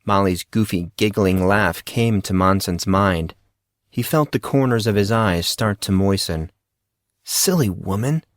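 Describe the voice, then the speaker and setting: A man's voice: low voice, unhurried, dry recording, studio quality. A man reading scripted fiction as an audiobook narrator.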